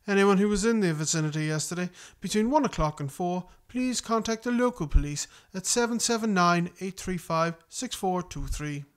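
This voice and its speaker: A man's voice: high-pitched, expressive, moderate pace, hardly any pauses, clear, resonant tone. A man playing a formal, composed newsreader, reading a scripted announcement in a radio play. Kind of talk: scripted news bulletin and credits reading.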